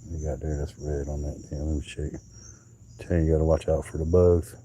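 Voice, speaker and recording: A man with a low, dark, relaxed voice hosts a live stream in a loose, rambling way. He talks casually, restarts his sentences and lets thoughts trail off as he goes. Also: a little fast, slight background noise. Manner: rambling, chatty, drifts off mid-sentence; handling and showing coral pieces.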